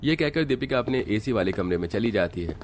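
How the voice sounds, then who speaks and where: A man narrating a cartoon story in a steady, explanatory way. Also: on the low side, fairly quick, a little hiss or hum.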